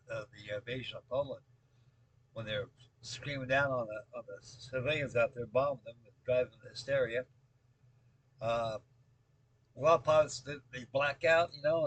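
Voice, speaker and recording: An American man in his late seventies with a husky voice, chatting casually as a hobby video host in a halting, rambling way, often repeating himself. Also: mid-range voice, a bit bright, slight background noise, low-quality recording. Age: late 70s. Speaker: scale model builder and video host. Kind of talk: casual update chat with rambling storytelling.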